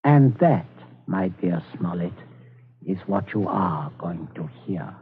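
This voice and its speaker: A man's voice: low-pitched, lively intonation. An actor playing a middle-aged father, outwardly genial but coldly calculating and menacing, sometimes stammering, in a 1940s radio drama style. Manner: stammering when flustered, deliberate. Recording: noisy, tinny 1940s radio recording.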